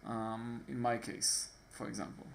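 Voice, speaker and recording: A man's voice: medium pitch, flat delivery, vocal fry, a little hiss or hum. Israeli-accented man walking through a tutorial. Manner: halting, frequent fillers and restarts. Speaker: tutorial host. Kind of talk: casual screen-recording tutorial.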